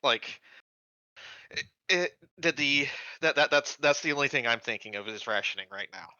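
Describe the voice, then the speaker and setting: A man chats and jokes at a tabletop game, repeating words as he starts sentences. His voice is strikingly sharp and bright, dry and slightly muffled by lo-fi audio. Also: mid-range voice, animated, normal speed, no echo. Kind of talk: casual joking chat.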